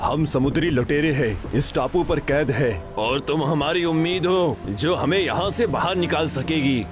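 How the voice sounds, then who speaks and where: A man's voice: fairly high pitch, measured pace, music in the background, close to an everyday voice. Man playing a blunt, commanding pirate chief. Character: commanding male pirate chief, weary of captivity.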